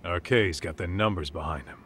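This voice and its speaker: An American man playing a gruff, blunt, streetwise detective's sidekick in a game cutscene, talking informally. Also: sits low, a little fast, a bit bright, a little hiss or hum. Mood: matter-of-fact. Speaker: video game voice actor. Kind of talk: English dub game cutscene dialogue.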